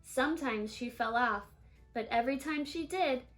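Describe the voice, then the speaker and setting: A woman with a high voice reads a children's picture book aloud. Her delivery is animated, drawing out important words for effect. Faint music plays along with the reading. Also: normal speed. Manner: animated storytelling, stressing and stretching key words. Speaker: storybook reader.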